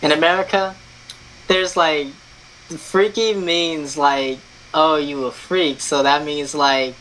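A man's voice: a little high, steady tone, slow, fairly bright tone, a little hiss or hum, low-quality recording. Laid-back young man on a webcam. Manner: laid-back, filler-heavy, says like and basically a lot. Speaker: stranger on video chat. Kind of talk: casual chat.

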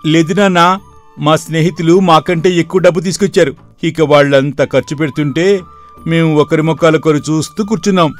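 A man playing a spoiled, greedy young village son, whiny and demanding, in an animated moral story. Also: fairly high pitch, brisk, natural voice. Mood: complaining. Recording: background music throughout.

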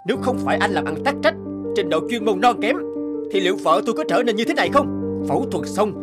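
Hot-headed young husband for animation. Animated, raised pitch, confrontational and indignant. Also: male voice, fast, over loud music. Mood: angry, accusing. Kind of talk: animated cartoon voice acting.

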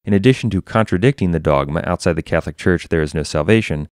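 A man's voice: sits low, fast talker, no echo, studio quality. A man reading a scripted religious polemic as narrator, in a formal, emphatic and declarative manner.

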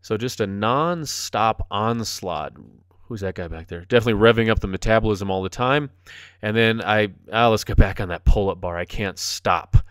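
A man's voice: fairly low pitch, normal speed, fairly bright tone, smooth, dry recording, studio quality. A man in his forties giving upbeat, jokey voice-over commentary as a fitness instructor over his workout video. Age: 40s. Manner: upbeat, jokey, enthusiastic coaching.

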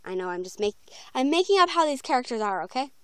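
Young female vlogger, very high smooth voice, informal and rambling, recorded close and dry on a phone. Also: big pitch swings, fast talker, fairly bright tone, dry recording. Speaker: video creator announcing voice auditions. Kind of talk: casual chatty vlog. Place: small room at home, bedroom. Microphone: handheld phone, close by.

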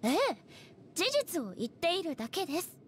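A high-voiced, dignified female deity ruler. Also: big pitch swings, slight background noise. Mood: composed, formal. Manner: formal, measured polite speech. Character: composed, dignified female deity ruler, aloof and literal-minded. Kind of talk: anime game voice acting.

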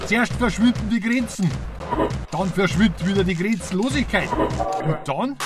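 A man's voice: high voice, animated, normal speed. A man playing a sceptical grumbler in an experimental radio play. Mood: grumbling, sceptical. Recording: music behind the voice. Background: music.

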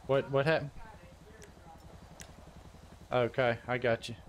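A man's voice: mid-range voice, little pitch movement, slow pace, poor audio. A man streaming a video game, chatting casually in a jokey, folksy, exclaiming way. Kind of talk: casual gaming chat. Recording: game gunfire and vehicle noise behind. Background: video game sound effects.

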